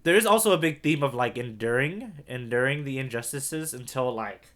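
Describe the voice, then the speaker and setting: A man with a mid-pitched voice that swings widely in intonation. He is a podcast co-host chatting casually. His manner is analytical, working through points out loud and posing questions as he goes. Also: lively intonation, fairly quick. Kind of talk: casual discussion of a manga.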